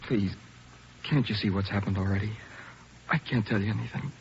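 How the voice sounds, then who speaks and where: A man's voice: on the low side, normal speed, a little hiss or hum, close to an everyday voice. An actor playing a frightened, knifed teenage boy who pleads in a rising, panicky voice in a 1940s radio drama. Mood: pleading, panicked. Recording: muffled old radio broadcast.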